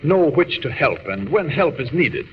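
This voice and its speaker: An actor playing a noble, earnest alien superhero in a solemn, formal 1940s radio style. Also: male voice, a little high, sing-song, a little slow. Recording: muffled, noisy, reverberant vintage radio recording.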